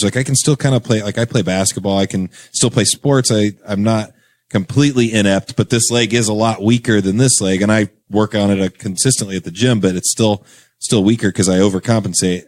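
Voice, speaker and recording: A young man with a fairly low voice tells a story in a loose, easygoing way, stacking up fillers and little laughs. He wanders from point to point like a podcast guest chatting between friends. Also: a little fast, a little mellow. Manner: rambling, filler-heavy, laughs through stories. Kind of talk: casual storytelling chat.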